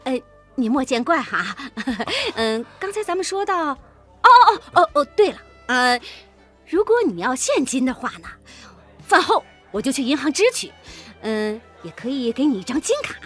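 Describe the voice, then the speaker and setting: A woman's voice: high-pitched, sing-song, moderate pace, fairly bright tone, faint music behind. An actress playing a wheedling, snobbish, scheming businesswoman with theatrical exclamations in a Chinese radio drama. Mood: coaxing. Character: brisk, coaxing, snobbish businesswoman and scheming partner.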